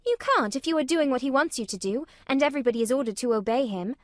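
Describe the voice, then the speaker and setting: A blunt, self-assured young girl, as a woman reads her, her high voice clipped and matter-of-fact. The pitch swings about freely. The recording is close and dry. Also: very animated, normal speed, dry recording.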